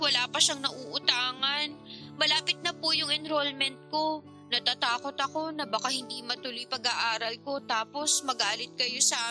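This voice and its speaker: High-voiced, apologetic young niece. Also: female voice, bright, forceful tone. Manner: hesitant, deferential, pleading. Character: apologetic young niece, fresh senior high graduate, worried and respectful. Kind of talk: radio drama dialogue.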